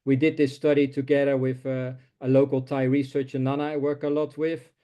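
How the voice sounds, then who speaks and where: Hesitant Dutch-native man, mellow voice. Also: medium pitch, flat delivery, highs cut off. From Netherlands. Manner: halting, many filler sounds. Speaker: market researcher presenting. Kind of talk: webinar presentation.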